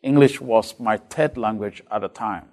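A man's voice: lowish voice, moderate pace, a little mellow. A man from Ghana with a Ghanaian accent, for whom English is not his first language, teaching a lecture on a Bible letter and putting rhetorical questions to his listeners.

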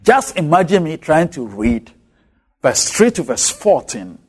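Slow, animated African-accented male preacher. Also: on the high side, breathy edge. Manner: emphatic, repeats key words, slows on phrases. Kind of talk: expository sermon.

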